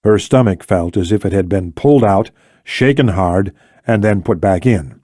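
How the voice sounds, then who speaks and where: A man's voice: fairly low pitch, moderate pace, mellow, no room sound, clean studio sound. A man reading scripted thriller narration as an audiobook narrator.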